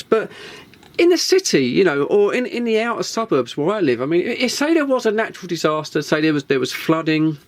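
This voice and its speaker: A man casually musing aloud in a home video, rambling and hesitant with many restarts and fillers. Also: a little high. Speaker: CB radio vlogger. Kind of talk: casual spoken monologue. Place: home shed.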